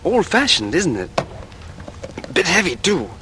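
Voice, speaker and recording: Flustered, high-voiced English gentleman. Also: big pitch swings, unhurried, exaggerated voice. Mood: uneasy. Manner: hesitant, blustering, stuffy. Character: fussy, flustered upper-class English gentleman. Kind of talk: 1940s radio acting. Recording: noisy vintage radio recording.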